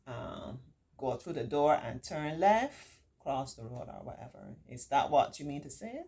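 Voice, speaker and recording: A middle-aged woman hosting a children's storytime, reading aloud and adding reassuring, encouraging teaching asides with drawn-out emphasis. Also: rumbling low, sing-song, dark tone. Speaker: children's storytime host and remedial tutor.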